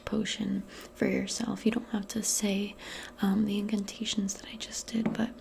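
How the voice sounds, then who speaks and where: A woman in a soft-spoken ASMR role play as a modern witch, talking in a gentle, hesitant, breathy half-whisper. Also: medium pitch, little pitch movement, normal speed, noisy background. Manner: hushed, gentle, hesitant and informal.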